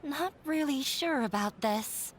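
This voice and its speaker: Game role: anxious, self-doubting young woman, high voice, halting slow delivery. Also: animated, a little hiss or hum. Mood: unsure. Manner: hesitant, stammering. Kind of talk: English video game dub.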